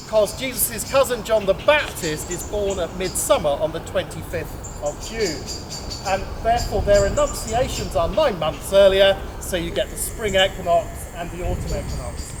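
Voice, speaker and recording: A man, a priest, giving a chatty, explaining talk to a gathering and laughing at his own jokes. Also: high-pitched. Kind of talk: informal address to a gathering. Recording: noisy outdoor ambience.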